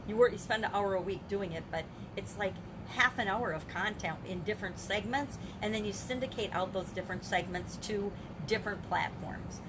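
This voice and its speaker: An older woman, a grandmother and business coach, talks through her day in a casual video journal. She questions herself aloud, often restarting sentences, in a mid-pitched voice. A steady background noise runs under her recording at home. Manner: chatty, self-reflective, frequent restarts and rhetorical questions.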